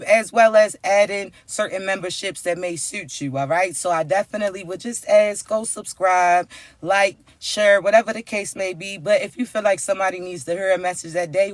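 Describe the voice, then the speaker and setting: A raspy-voiced man hosting his own channel, giving an earnest, coaxing spiritual message to his viewers and often checking in with them. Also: sits high, no echo.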